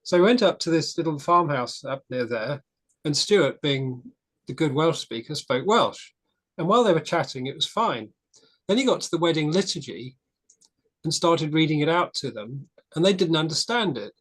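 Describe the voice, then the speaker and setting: A man's voice: fairly high pitch, animated, normal speed, fairly bright tone. A man speaks in a slightly raised voice, frank and opinionated. He tells stories in casual group talk and often restarts his sentences. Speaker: discussion group participant.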